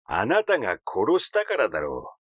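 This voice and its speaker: A man's voice: lowish voice, huge pitch swings, normal speed, fairly bright tone, no echo, studio quality, heavily acted. A man voicing a blunt, teasing amateur sleuth in an audiobook, talking in a casual, insinuating and mocking way. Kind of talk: audiobook character dialogue.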